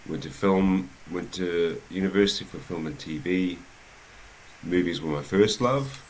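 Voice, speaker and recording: A man with a New Zealand accent, a rugby coach telling his life story as an interview guest, in an unhurried way with many pauses. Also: sits low, a little hiss or hum, low-quality recording.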